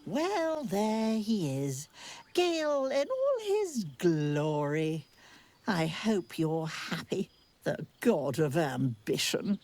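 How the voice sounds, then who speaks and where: An actress playing a wizard's tart, disapproving companion in a fantasy game, dry, sardonic and refined. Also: female voice, fairly low pitch, big pitch swings, drawn-out words, darkish tone, slight background noise, exaggerated voice. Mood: scornful. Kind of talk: dramatic game voice acting.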